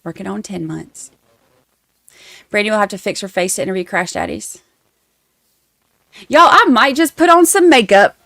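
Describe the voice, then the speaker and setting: A woman's voice: medium pitch, animated, moderate pace, gravelly, pauses often, bright, forceful tone, no echo, studio quality. A woman with a Southern Georgia accent hosting a casual livestream, rambling and self-deprecating as she chats to her viewers. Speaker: livestream host covering trials.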